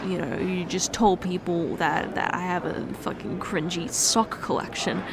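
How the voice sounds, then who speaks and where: A young woman performing an emotional roleplay confession, halting and tearful, with many sighs and restarts. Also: on the low side, fairly even intonation, gravelly, noisy background. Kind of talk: emotional ASMR fiancée roleplay monologue.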